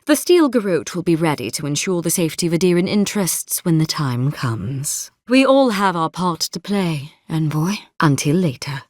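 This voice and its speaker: A woman's voice: on the low side, dry recording, clean studio sound. A woman voicing a stern, haughty and zealous female military captain in a video game, speaking in a formal, clipped, imperious way. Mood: firm, warning, then cool, formal. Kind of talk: video game character dialogue.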